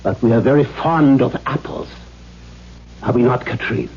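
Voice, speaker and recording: An actor playing a cruel, domineering middle-aged father in a 1970s radio drama, mock-gentle and formal as he menaces his daughter. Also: medium pitch, moderate pace, slightly rough. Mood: mock-gentle, probing. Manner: mock-gentle, formal phrasing, erupting into demands. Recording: dull, noisy 1970s radio recording.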